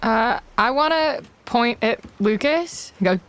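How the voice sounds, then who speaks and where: Woman, tabletop player, giggly, high voice. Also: expressive, unhurried, fairly bright tone, clean tone. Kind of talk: playing a tabletop game.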